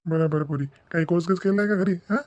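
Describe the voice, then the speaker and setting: Fast, mellow cartoon village man , acted by a man. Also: high-pitched, highs cut off, close to an everyday voice. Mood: brisk, questioning. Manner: colloquial, questioning. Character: village men at a matchmaking visit. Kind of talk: comic cartoon dialogue.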